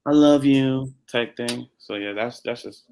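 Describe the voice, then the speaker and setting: A man answering on a panel over a video call, loose and joking, his loudness swinging up and down. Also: medium pitch, a little slow, slight background noise.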